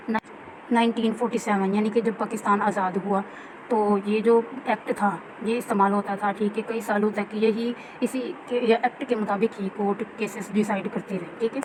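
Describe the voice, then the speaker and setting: Even-toned Pakistani woman teaching, noisy recording. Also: medium pitch. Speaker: law teacher. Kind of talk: informal recorded lecture. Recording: clear steady background noise.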